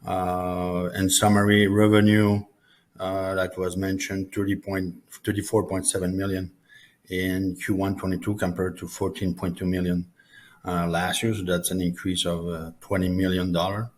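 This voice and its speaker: Low, halting man. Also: little pitch movement. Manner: halting, hesitant delivery. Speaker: chief financial officer presenting. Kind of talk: earnings call presentation.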